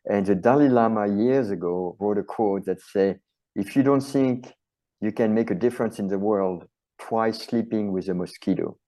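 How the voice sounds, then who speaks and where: A man's voice: on the low side, normal speed, warm tone, rough recording. A middle-aged man with a French accent, a chiropractor and author answering interview questions earnestly and passionately, often stacking phrases into lists.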